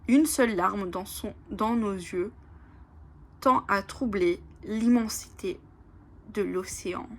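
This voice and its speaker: A woman whose first language is Italian, casually presenting oracle cards, reading their lines aloud slowly and whispering in awe, with many fillers. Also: mid-range voice. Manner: soft, often whispering in awe, hesitant with fillers. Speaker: oracle card channel host.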